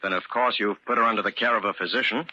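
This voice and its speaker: A fast-talking, skeptical detective. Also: male voice, lowish voice, steady tone, bright, forceful tone. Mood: coolly skeptical. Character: brisk, skeptical male detective, protective and direct. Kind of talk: vintage radio drama acting. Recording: dull band-limited old radio recording.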